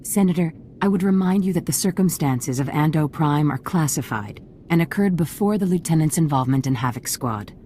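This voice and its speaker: A woman's voice: low voice, expressive, a little fast, hardly any pauses, dark tone, slight background noise. An actress playing a formal, guarded female official who politely shuts questions down. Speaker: presiding senator.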